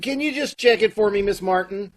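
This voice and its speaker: A man's voice: high-pitched, brisk. A man playing a fussy, salesman-like bank loan officer in an old-time radio comedy.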